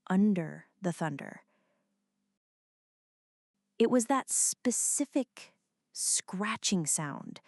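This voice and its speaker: A woman's voice: mid-range voice, darkish tone, dry recording, studio quality. A woman acting a jumpy, flirty, dramatic friend in an ASMR roleplay, talking to the listener in a teasing, mock-indignant way. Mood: alert, nervous.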